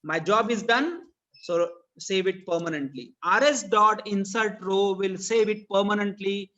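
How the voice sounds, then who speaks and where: A man's voice: high-pitched, a little slow, pushed and bright. A man with an Indian accent teaching programming in a live online lesson, explaining didactically and repeating phrases for emphasis. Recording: dull, lo-fi online meeting audio.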